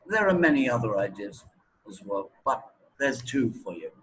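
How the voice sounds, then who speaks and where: A man's voice: mid-range voice, very animated, normal speed, a bit bright, poor audio. A man giving explanatory interview answers as a teacher, emphatic and repeating words for stress.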